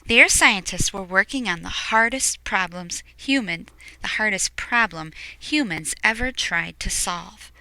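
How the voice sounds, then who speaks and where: A woman reading a picture book aloud expressively, explaining things to young listeners in hushed asides. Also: mid-range voice, measured pace, no room sound, poor audio.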